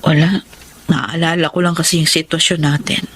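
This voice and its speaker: An actress playing a gentle, childless wife who longs to adopt a child, speaking softly and earnestly in a radio drama. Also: female voice, low-pitched, little pitch movement, moderate pace, husky, full character voice. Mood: wistful.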